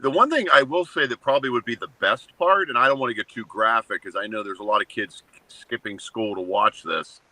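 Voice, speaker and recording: A man in his seventies co-hosting a casual talk show, joking bluntly and ranting in short, flat statements. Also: mid-range voice, a little fast, few pauses, low-quality recording. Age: 70s. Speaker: co-host. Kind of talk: casual chat.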